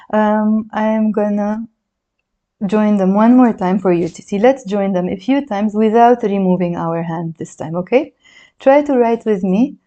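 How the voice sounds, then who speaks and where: A native Arabic-speaking woman with a Lebanese accent talks a class through writing letters. Her voice is mellow and soft, set at an ordinary middle pitch. She chats along, encouraging and counting strokes as she goes. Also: lo-fi sound. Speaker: Arabic teacher. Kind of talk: handwriting lesson, demonstrating while talking.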